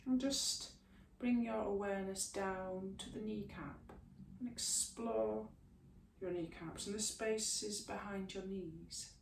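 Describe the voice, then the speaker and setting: A woman teaching a yoga class, giving slow, soothing guidance through stretches and a guided relaxation. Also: medium pitch, steady tone, creaky. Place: room, recorded at a distance from the camera mic. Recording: echoey room, mic at a distance, faint hiss.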